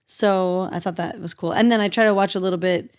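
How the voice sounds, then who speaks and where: A woman in her thirties co-hosts a casual podcast, talking in a relaxed, mid-pitched voice. She is chatty and a little self-deprecating, often restarting her sentences and filling pauses with little words. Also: smooth. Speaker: podcast co-host.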